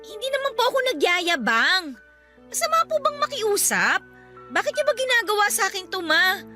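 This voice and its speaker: A woman's voice: very high voice, very animated, normal speed, over music. A young woman playing a dutiful, weary daughter in a Filipino radio drama, respectful and pleading but aggrieved. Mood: hurt, exasperated. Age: young adult.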